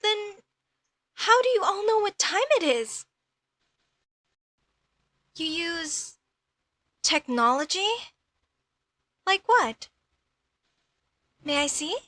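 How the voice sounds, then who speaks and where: A woman playing a curious, outspoken young female elf mage in a comedic audio roleplay, questioning things in a halting, slightly haughty way. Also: extremely high pitch, very animated, bright, forceful tone, no room sound, clean studio sound, heavily acted. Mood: curious, puzzled.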